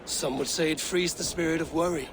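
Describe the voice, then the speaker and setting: An actor playing a cunning, boastful warrior lord in a video game, flattering and formal in his speech. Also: a little high, a little fast, noisy background, close to an everyday voice. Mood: coaxing, charming. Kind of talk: theatrical video game acting.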